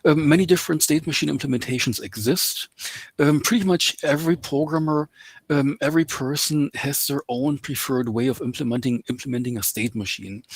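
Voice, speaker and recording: A man lectures in English that is not his first language, pausing often with ums and uhs. His voice is slightly husky and mid-pitched. The sound is dry and close, like a call mic. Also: no echo. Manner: hesitant, many ums and uhs. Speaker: guest lecturer. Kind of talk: open lecture over video call. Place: home in Canada.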